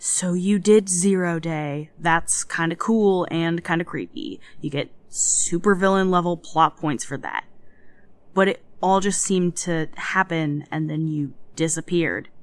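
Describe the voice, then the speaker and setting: Actress as female hacker team leader, frustrated, hesitant, slightly low pitch, dry sound, audio drama. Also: measured pace, no room sound, lightly acted. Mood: probing, uneasy. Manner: hesitant, exasperated, plain-spoken. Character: frustrated, wary female hacker collective coordinator.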